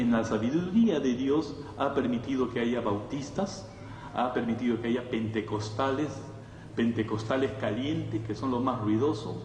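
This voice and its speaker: A man's voice: medium pitch, a little mellow. A man preaching a conversational sermon to a congregation, teaching with rhetorical questions and checking in with his listeners. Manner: didactic, with rhetorical questions and frequent check-in tags. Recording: echoey hall, distant mic, faint noise.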